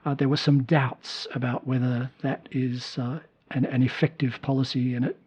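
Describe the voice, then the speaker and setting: A man's voice: medium pitch, flat delivery, moderate pace, a little mellow, husky. A man hosting a podcast interview, asking curious questions with hesitant fillers. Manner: inquisitive, hesitant, many fillers. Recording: dull lo-fi call audio.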